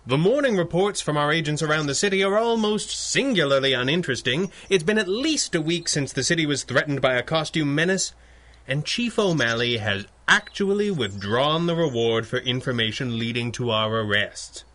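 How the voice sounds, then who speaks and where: A wealthy, unflappable crime-fighting boss in a radio adventure serial. His voice is fairly high for a man and very animated in pitch, with dry, urbane, formal phrasing. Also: normal speed. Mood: distracted, patient. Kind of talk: old-time radio adventure acting.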